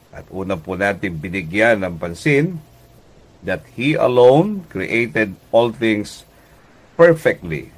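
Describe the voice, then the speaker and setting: A middle-aged Filipino man speaking as a pastor hosting a devotional radio program, deliberate and preacherly, often pausing mid-phrase. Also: low voice. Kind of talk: devotional radio sermon with greetings.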